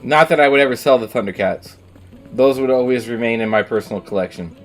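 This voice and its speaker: A man's voice: medium pitch, quick pace, faint music behind. A man streaming a game, rambling casually with lots of ums and the odd swear word. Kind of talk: casual chat while gaming. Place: at home, streaming.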